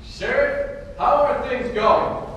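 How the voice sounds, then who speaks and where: A man playing an excitable field news reporter interviewing people in a puppet show. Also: very high-pitched, slow pace, heavily acted. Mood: earnest. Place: puppet show stage in small dark theatre. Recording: echoey hall from far off.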